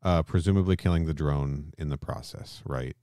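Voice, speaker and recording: A man's voice: extremely low pitch, normal speed, warm tone, smooth, no room sound, clean studio sound. A man co-hosting a podcast, talking casually and emphatically, with some swearing. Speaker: podcast co-host. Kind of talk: casual discussion and film plot recap.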